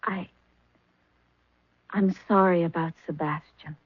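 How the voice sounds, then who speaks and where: A woman's voice: lowish voice, steady tone, slow, breathy edge, no room sound. A woman playing a charming, scheming young poisoner in a 1970s radio drama, speaking sweetly and politely. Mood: cool. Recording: dry, dull old radio broadcast.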